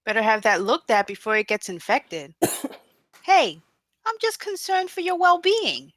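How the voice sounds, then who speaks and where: Actress playing defiant captive heroine, sharp bright voice, very lively pitch, taunting, profane, table read. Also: female voice, measured pace, no echo. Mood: sarcastic. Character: defiant, foul-mouthed captive female action hero, mocking her torturer.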